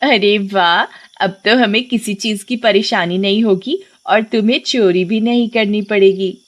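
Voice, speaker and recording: A woman voicing an excitable, nagging village wife in a dramatized horror story, with exclamatory delivery. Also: mid-range voice, a little slow, close to an everyday voice. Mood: pleased, fussy.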